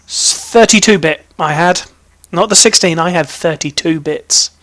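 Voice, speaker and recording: High-voiced young English man, chatting casually. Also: a little slow, fairly bright tone, dry recording, studio quality. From British, raised in army family in Germany, Hong Kong and England. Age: young adult. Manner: rambling, self-interrupting, playful. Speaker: vlog host. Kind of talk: casual chatty personal storytelling to camera.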